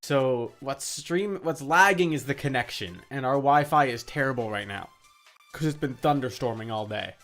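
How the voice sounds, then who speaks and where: A man with a medium-pitched voice talks casually on a live game stream. He repeats exclamations and phrases as he reacts, and faint game music plays behind him. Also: normal speed, a bit bright. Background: video game music.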